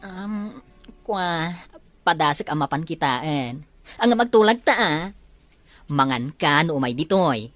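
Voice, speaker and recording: A woman's voice: deep voice, lively intonation, slow, pauses often, fairly bright tone, soft background music, full character voice. An actress playing an earnest, patient woman in a radio-style family drama. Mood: conciliatory.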